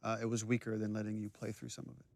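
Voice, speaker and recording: Low, creaky-voiced man, thoughtful. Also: flat delivery, very fast, darkish tone. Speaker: game director and writer. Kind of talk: casual director's commentary.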